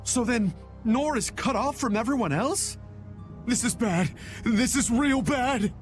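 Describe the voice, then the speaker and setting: Panicky, high-voiced townsman. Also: animated, a little slow, faint music behind. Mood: dismayed. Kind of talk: animated game voice acting.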